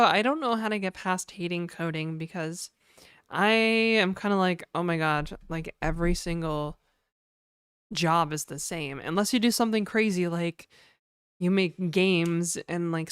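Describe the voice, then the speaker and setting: A Canadian woman streaming live, chatting casually and jokingly with her viewers in a somewhat hoarse voice. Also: fairly bright tone, no echo, clean studio sound. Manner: rambling, joking, slips into mock French and a put-on high e-girl voice.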